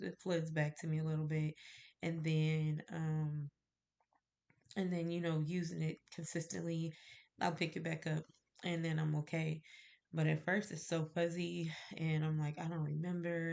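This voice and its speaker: A woman's voice: low-pitched, creaky, darkish tone. A woman with a stuffy cold, sounding nasal, chatting casually to camera about her candle-making and rambling with fillers and little laughs. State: stuffy, congested.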